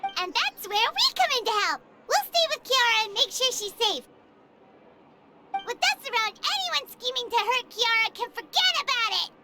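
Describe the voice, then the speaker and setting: A woman voicing a tiny, childlike, boastful fairy companion in a video game, squeaky and exclamatory. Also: very animated, moderate pace, heavily acted. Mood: boastful, cheerful. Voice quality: squeaky, shrill. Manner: exclaims, refers to self in third person.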